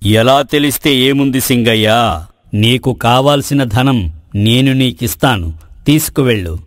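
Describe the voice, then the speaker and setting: A magical forest tree played by a man speaks in a mid-pitched male voice. It is calm and tempting, offering bargains in slow, formal phrases. The recording is close and dry, in moral-story style. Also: no echo, close to an everyday voice. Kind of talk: Telugu moral story voice acting.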